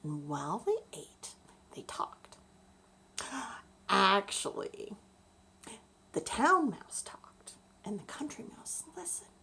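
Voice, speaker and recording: A woman telling a bedtime story to children, expressive and deliberate, explaining things as she goes. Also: medium pitch, vocal fry, whispered.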